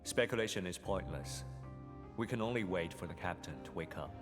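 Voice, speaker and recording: Very low-voiced stoic male soldier. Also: animated, a little fast, over music. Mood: grave, calm. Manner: formal, blunt, unemotional. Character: stoic, terse male military operative reporting as a soldier. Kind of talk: video game voice acting.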